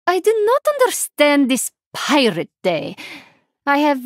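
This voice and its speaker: A woman voicing a solemn, devout alien priestess of the light for a video game, formal and measured in her phrasing. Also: sits high, wildly swooping pitch, no echo, studio quality, heavily acted. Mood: earnest, puzzled. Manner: formal, measured, deliberate phrasing without contractions. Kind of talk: video game character voice lines.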